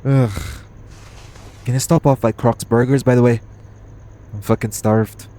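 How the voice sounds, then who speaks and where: A man's voice: mid-range voice, steady tone, measured pace, mellow, lightly acted. A man playing a cocky, teasing, foul-mouthed hitman in an audio roleplay. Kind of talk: audio roleplay acting addressed to the listener.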